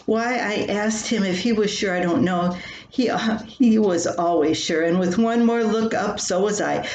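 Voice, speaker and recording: A woman's voice: mid-range voice, unhurried, a little mellow. An older woman reading her own humorous personal column aloud, with a wry, dramatic storytelling manner. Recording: roomy echo with clear background noise.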